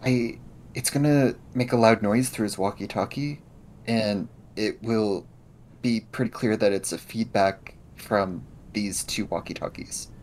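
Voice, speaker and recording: A man's voice: measured pace, darkish tone. A man narrating and role-playing his character in a tabletop role-playing game. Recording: low rumble and background noise.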